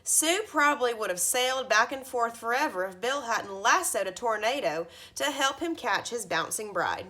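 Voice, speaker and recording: Bright-voiced woman reading a storybook aloud. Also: fairly high pitch, lively intonation, moderate pace. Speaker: teacher reading aloud to students. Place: carpeted living room at home, indoors.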